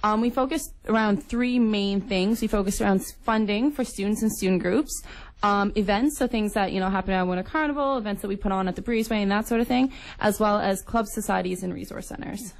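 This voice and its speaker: A woman's voice: mid-range voice, a little fast, gravelly. A young woman from Nova Scotia with a Canadian accent, answering earnestly as a guest in a casual radio interview. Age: young adult. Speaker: guest, incoming student union executive. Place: radio studio.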